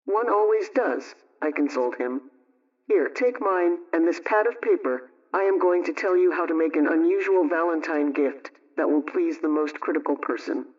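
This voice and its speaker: A woman playing a brisk, motherly homemaking adviser, telling a scripted radio story in an emphatic, instructive way. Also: deep voice, far from the mic, dull audio, close to an everyday voice.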